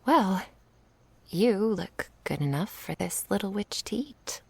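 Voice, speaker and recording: Woman acting a slow, breathy, doting young witch. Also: medium pitch, lively intonation, no room sound, full character voice. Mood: playful, doting. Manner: soft, breathy, tender murmuring with little hums. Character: affectionate young witch, tender and playful with her soulmate. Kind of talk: intimate ASMR roleplay, often whispered.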